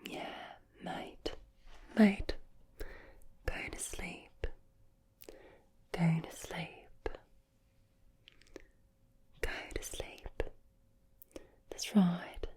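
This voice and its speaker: A woman's voice: lowish voice, steady tone, drawn-out words, long pauses, a little mellow, pitched below the performer's own voice, heavily acted. Woman putting on a whispering laid-back Aussie. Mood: laid-back, soothing. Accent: put-on Australian. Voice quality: breathy whisper. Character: laid-back, matey Australian urging sleep. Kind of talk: whispered ASMR accent roleplay.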